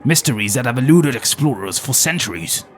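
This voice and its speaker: A man's voice: mid-range voice, expressive, a little fast, soft background music, natural voice. A man playing a wry old ex-TV explorer. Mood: sly. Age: older. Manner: wry, conspiratorial, world-weary. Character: aging male former nature documentary host.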